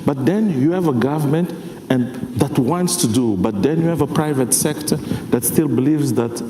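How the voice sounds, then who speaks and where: A man's voice: mid-range voice, moderate pace, warm tone, slight background noise. A man in his forties from Mozambique, a jazz musician speaking English, talking passionately on a conference panel and often checking whether his listeners follow. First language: Portuguese. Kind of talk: panel discussion. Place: large conference hall stage. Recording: echoey hall with faint ambience.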